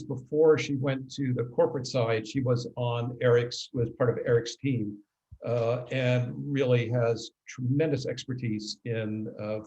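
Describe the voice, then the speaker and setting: Halting male webinar host. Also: mid-range voice, a little mellow, dull audio. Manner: halting, frequent throat clearing. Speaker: webinar host and compliance consultant. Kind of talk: scripted webinar introductions with casual asides.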